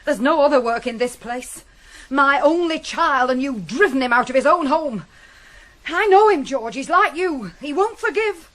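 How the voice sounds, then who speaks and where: An actress playing a distraught, reproachful middle-aged miner's wife, accusing and emotional in a radio drama. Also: female voice, high-pitched, animated, normal speed, fairly bright tone. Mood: anguished. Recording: noisy old radio broadcast.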